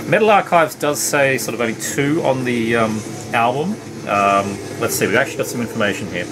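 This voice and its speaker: A middle-aged man chatting casually about his records at home, relaxed and rambling, with many uhs and ums. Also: mid-range voice, fairly quick. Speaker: vinyl collection vlogger. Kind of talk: casual record review chat. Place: home room lined with CD shelves. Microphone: camera at desk distance. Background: background hum, faint tape music.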